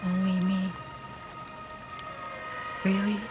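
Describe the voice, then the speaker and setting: A woman's voice: medium pitch, completely flat delivery, very slow. An actress playing a lonely, wary young outcast woman in a cinematic video game, speaking in a guarded, hesitant way. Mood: hesitant hope. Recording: loud music and rain underneath.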